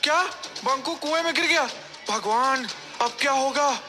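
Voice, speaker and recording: A man's voice: extremely high pitch, measured pace, music in the background. A man voicing a startled, concerned villager in a cartoon story, exclaiming in alarm.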